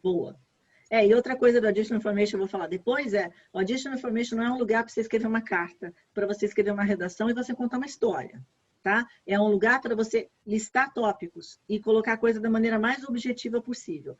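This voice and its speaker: A woman's voice: fairly high pitch, quick pace. A Brazilian woman, an education advisor, explaining an online application form step by step in a chatty way, stressing key points by repeating them. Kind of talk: online workshop walkthrough. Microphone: video call. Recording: muffled lo-fi call audio.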